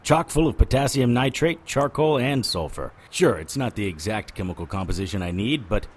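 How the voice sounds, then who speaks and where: A man's voice: lowish voice, animated, normal speed, husky. An actor playing a wry, world-weary occult detective who narrates his own story in a noir-style audio drama. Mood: sardonic. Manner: wisecracking, sardonic. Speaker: lead actor and first-person narrator.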